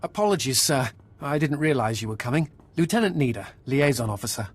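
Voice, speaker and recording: An actor playing a deferential, efficient imperial liaison officer in a video game, formal and polite in manner. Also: male voice, medium pitch, lively intonation, a little fast, natural voice.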